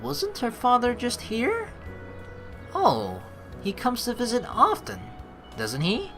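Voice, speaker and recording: A woman plays through a game aloud with a bright, forceful voice. Her pitch swings widely as she playfully narrates and rambles, with faint music underneath. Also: huge pitch swings, slow. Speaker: let's play host. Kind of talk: casual game playthrough commentary mixed with reading narration aloud. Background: game background music.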